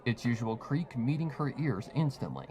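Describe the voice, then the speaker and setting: A man's voice: mid-range voice, very animated, normal speed, a little mellow. A man reading a fan fiction story aloud as a dramatized scripted narration. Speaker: story reader.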